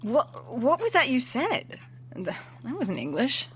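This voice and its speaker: A woman's voice: high-pitched, wildly swooping pitch, slow, fairly bright tone, breathy edge, heavily acted. A woman in an audio drama playing a skeptical, wry listener with a casual, questioning way of talking. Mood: puzzled. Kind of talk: naturalistic audio drama dialogue.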